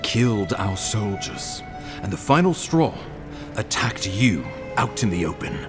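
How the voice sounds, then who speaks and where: An actor playing a grave, courtly imperial ambassador in a video game, speaking formally and deliberately. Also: male voice, lowish voice, big pitch swings, music in the background. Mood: grave, earnest. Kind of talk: scripted video game dialogue.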